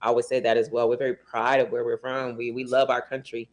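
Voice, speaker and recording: A man from Liberia talks as a guest in an interview, earnest and heartfelt, often pausing on fillers. His voice sits at an ordinary middle pitch for a man. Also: level tone. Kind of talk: casual interview talk. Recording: talk show studio.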